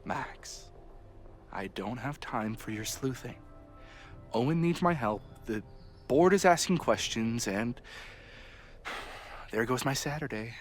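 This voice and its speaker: A wry, harried university aide in a naturalistic video game drama. His pitch moves in animated swings, with theatrical sarcasm and exasperation. Faint music plays behind him. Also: slow pace, long pauses. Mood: exasperated, defensive.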